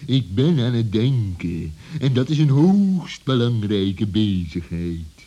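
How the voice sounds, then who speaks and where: A man voicing a sly, pompous fox villain in a children's radio play, gloating and scheming with a husky voice. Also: lowish voice, big pitch swings, slow, mellow, highs cut off, heavily acted. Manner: pompous, theatrical.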